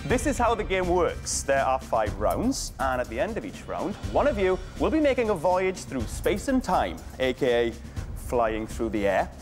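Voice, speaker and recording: A man hosting a British TV game show, delivering scripted lines with cheeky deadpan jokes in a studio. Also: fairly high pitch, normal speed. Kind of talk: scripted playful presenting. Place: large TV studio. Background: music.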